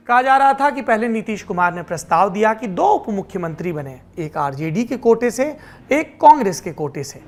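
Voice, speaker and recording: A woman presenting explanatory political news commentary as a news presenter. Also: mid-range voice.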